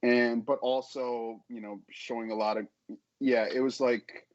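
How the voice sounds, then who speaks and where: A man chatting casually as an interview guest, halting as he repeats words and backtracks through his thoughts. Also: mid-range voice, flat delivery, dry recording, lo-fi sound. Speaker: podcast guest, author.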